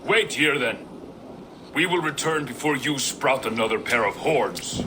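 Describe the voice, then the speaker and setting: An actor playing an earnest, weary reformed thief who longs for peace, speaking sincerely and reflectively in a video game cutscene. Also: male voice, medium pitch, lively intonation, unhurried, noisy background, natural voice. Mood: firm.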